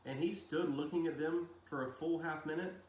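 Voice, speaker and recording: A man reading a novel aloud in a deliberate storytelling style with dramatic pauses. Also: a little high, darkish tone. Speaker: reader of a children's novel read-aloud. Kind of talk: audiobook-style narration with brief casual asides. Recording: roomy echo, faint background noise.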